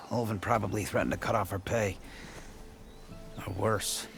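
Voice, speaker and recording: A man's voice: on the low side, fairly even intonation, slow, vocal fry, pushed and bright, soft background music, full character voice. An actor playing a weary, injured mine worker in a video game, blunt and colloquial, grumbling as he talks. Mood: bitter, worried. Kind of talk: scripted video game dialogue.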